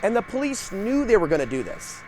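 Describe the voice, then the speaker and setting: A man's voice: high voice, sing-song, brisk, darkish tone. An American man hosting a TV show with earnest, emphatic political commentary and rhetorical questions. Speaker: public-access TV show host. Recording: noisy archive TV show audio.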